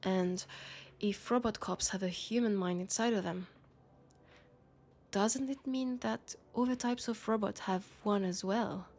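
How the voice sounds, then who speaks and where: A woman's voice: mid-range voice, a little slow, a little mellow. A woman with a French accent playing a worried, disillusioned policewoman in an intimate sci-fi roleplay, confiding in a hesitant way. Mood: troubled, uneasy. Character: worried, disillusioned young policewoman in a dystopian city. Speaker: roleplay audio performer.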